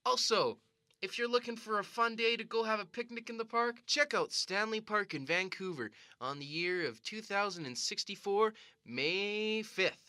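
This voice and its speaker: A woman plays an absurdly confident TV weather reporter in a comedy sketch. The delivery is brisk and matter-of-fact, with a bright, forceful tone. It is recorded dry and close. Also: medium pitch, dry recording, natural voice. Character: chirpy, absurdly confident weatherman named Stanley. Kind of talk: improvised radio comedy sketch.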